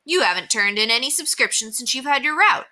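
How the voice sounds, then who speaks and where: A woman's voice: fairly high pitch, animated, sharp tone, voice pushed up. A woman voicing a friendly, encouraging male district manager in a read-aloud storybook. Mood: questioning. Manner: encouraging, brisk.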